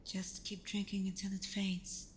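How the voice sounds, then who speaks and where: Soft, even-toned vampire girlfriend played by a woman. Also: medium pitch, slight background noise, natural voice. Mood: tender, reassuring. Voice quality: soft, breathy, often near whisper. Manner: soothing, reassuring, unhurried. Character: gentle, protective vampire girlfriend, caring and patient. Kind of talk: ASMR roleplay.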